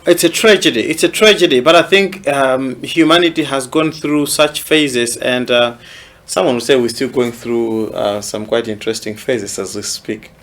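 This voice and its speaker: A man hosting an interview show, asking questions and dropping in quick, eager affirmations. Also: medium pitch, fairly bright tone, a little hiss or hum. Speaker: talk show host. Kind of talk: conversational interview.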